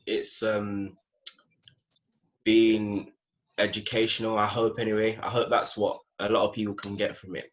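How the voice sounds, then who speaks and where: A young man from Ghana speaks tentatively in a low, flat voice. He hedges his thoughts with many "like" fillers. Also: low-quality recording. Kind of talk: casual spoken reflection.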